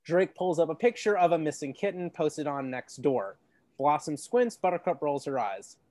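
Deep voiced guy reading out a script, dry close sound. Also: expressive, normal speed, darkish tone, no echo. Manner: deep-voiced, reads stage directions. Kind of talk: script read-through with commentary.

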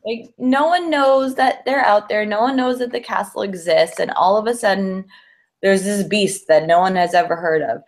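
A woman with a medium-pitched voice in a casual podcast conversation about movies. She is informal and enthusiastic, and drops "like" into her sentences as she goes. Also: moderate pace. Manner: enthusiastic, informal, heavy use of "like". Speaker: podcast co-host. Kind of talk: casual chat about films.

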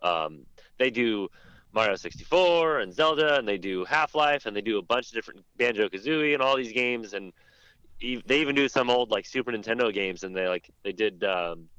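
A man's voice: lowish voice, expressive, moderate pace, a bit bright, clear, resonant tone, no echo, highs cut off. A man chatting casually as a panelist on a group podcast.

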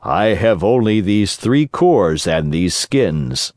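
A man voicing a kindly, patient old father in a storybook, speaking in a gentle, earnest, moralizing way. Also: fairly low pitch, animated, slow, no echo, clean studio sound. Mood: apologetic.

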